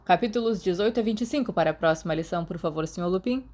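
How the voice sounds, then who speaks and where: A woman with a Brazilian Portuguese accent voicing a gruff, distracted male teacher who speaks loudly. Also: fairly low pitch, very fast, natural voice.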